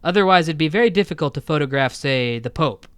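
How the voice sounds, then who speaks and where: A man speaking as an interview guest, an audio drama creator with deadpan joking. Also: a little high, fast talker, clean tone, no room sound. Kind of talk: interview conversation.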